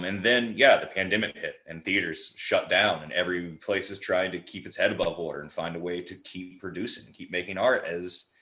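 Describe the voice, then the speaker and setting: A man's voice: deep voice, pushed and bright. A man talking casually as a podcast guest, rambling and jokey in the way he tells things. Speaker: guest, horror playwright. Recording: roomy, echoey sound.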